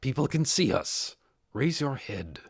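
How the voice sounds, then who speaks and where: A man voicing a composed, commanding undead overlord with a measured, authoritative manner, in an audiobook. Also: mid-range voice, very animated, gravelly, breathy edge, no room sound, studio quality, heavily acted. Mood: stern but forgiving.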